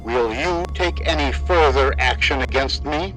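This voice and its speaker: A man playing a smug, controlling captor in an animated fan drama, slightly nasal and chastising. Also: mid-range voice, unhurried, soft background music, natural voice, poor audio. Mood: cold, smug. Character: smug male captor, cold controlling villain.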